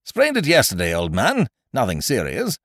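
Storybook scrounger played by a male reader: falsely hearty, cajoling, hugely animated, pitch leaping about. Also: normal speed, no room sound, full character voice. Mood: evasive, reassuring. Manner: effusive, cajoling, old-fashioned British slangy address. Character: breezy male scrounger, wheedling, overfamiliar, falsely hearty chum.

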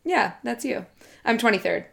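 A woman's voice: a little high, animated, normal speed, creaky, a bit bright. A woman guest on a podcast, chatting cheerfully with short replies and frequent giggles. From Canada. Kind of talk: casual chat.

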